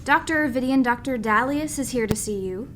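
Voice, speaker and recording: Actress as deadpan, unimpressed space station nurse. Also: female voice, high voice, brisk, loud music behind the voice, natural voice. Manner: deadpan, curt, businesslike. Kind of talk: audio drama comedy acting.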